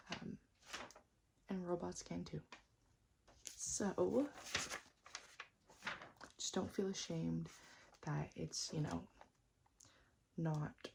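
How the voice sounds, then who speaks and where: A woman doing an ASMR roleplay as a repair technician, whispering and speaking softly in a gentle, reassuring, unhurried way. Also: on the low side, fairly even intonation, creaky, noisy background. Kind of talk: ASMR roleplay, mostly whispered and soft-spoken.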